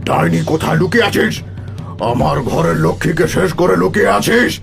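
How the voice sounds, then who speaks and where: An actor playing a vengeful older village landlord and patriarch in a melodramatic cartoon, with a gravelly voice. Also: male voice, fairly high pitch, brisk, soft background music. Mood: furious, threatening. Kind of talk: melodramatic Bengali cartoon acting.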